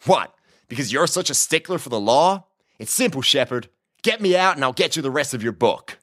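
Guy doing a cocky cowboy crook, drawly and mocking, pitch all over the place, audiobook style. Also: lively intonation, a little fast, a bit bright, no room sound, studio quality. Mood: smug. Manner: smug, drawling, teasing. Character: smirking American cowboy outlaw in a cell, mocking, unhurried. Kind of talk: audiobook character acting.

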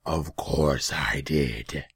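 A man voicing a scornful, imperious Rakshasa demon in a fantasy story, drawing words out slowly and contemptuously. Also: rumbling low, fairly bright tone, husky, dry recording, exaggerated voice. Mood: cold menace. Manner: slow, drawn-out, contemptuous.

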